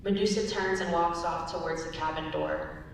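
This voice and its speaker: A woman's voice: fairly even intonation, normal speed. A young woman reading stage directions as scripted narration at a dramatic reading. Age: young adult. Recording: very echoey hall, distant mic, hiss and rumble.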